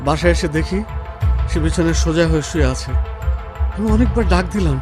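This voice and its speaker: A man's voice: fairly high pitch, fairly quick, lightly acted. A man acting a busy husband in an audio drama. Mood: anxious, explaining. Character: busy husband, placating at home, later frantic. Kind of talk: Bengali radio drama acting. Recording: music playing behind the voice.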